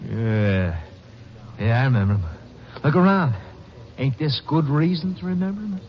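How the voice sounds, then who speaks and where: A man's voice: mid-range voice, lively intonation, moderate pace, close to an everyday voice. Man acting a sneering little convict, wildly swooping voice. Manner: taunting, cackling, slangy. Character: brutal, sneering little convict, bitter and mocking. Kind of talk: 1950s radio drama acting. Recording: muffled vintage radio recording, faint noise.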